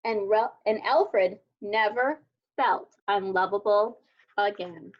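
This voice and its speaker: A woman reading a children's picture book aloud as a storyteller, with expressive, deliberate delivery. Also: medium pitch. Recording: dull lo-fi sound.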